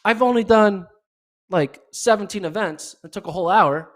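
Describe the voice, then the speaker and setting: A man hosting a casual solo podcast recap, chatty and excitable, with a voice going hoarse. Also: sits high, moderate pace. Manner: excitable, chatty, many fillers. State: losing his voice. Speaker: podcast host.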